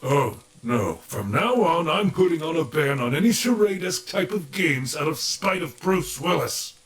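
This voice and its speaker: Casting: man as cheerful, bumbling male animatronic bear, highish voice, very lively intonation, earnest and wordy. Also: moderate pace. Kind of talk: audio roleplay acting.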